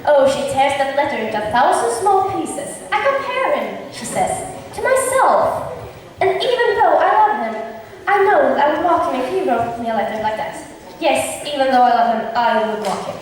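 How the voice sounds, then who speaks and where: A woman's voice: high voice, sing-song, moderate pace. A woman in an amateur school play, playing a worried, gossiping parent in a noble household. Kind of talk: amateur school stage acting. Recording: distant mic in echoey hall.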